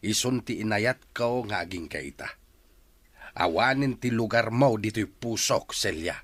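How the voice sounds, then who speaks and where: A man's voice: medium pitch, a bit bright, exaggerated voice. An actor playing a stern, blunt middle-aged father in a radio drama, firm, emphatic and melodramatic. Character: stern, blunt father rejecting a woman's love.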